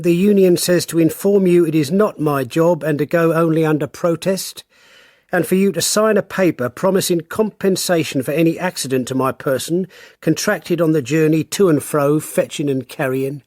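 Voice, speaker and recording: A man's voice: a little high, moderate pace, darkish tone, dry recording, clean studio sound, close to an everyday voice. A man playing a stubborn, grudging school caretaker reading out his note in a radio drama.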